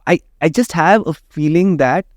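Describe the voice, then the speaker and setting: A man's voice: fairly high pitch, normal speed, dry recording, studio quality. A radio jockey, explaining his views at length in an interview and often checking in with "right?". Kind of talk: opinionated interview conversation.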